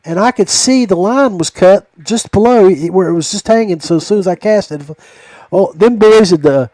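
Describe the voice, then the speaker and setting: A man's voice: high voice, normal speed, dark tone, no echo, poor audio. A man chatting casually as a podcast host, telling stories in a rambling, folksy way. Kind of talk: casual chat, storytelling.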